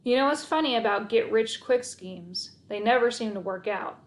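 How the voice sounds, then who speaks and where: A woman's voice: on the high side, normal speed. A woman narrating a children's book aloud in the first person, reading expressively.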